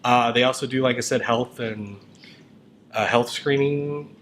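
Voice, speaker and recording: A man's voice: medium pitch, fairly even intonation, unhurried, a bit bright. A man co-hosting a show in casual conversation, talking in a rambling, informal way. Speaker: show co-host. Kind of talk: casual chat. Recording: noticeable background noise.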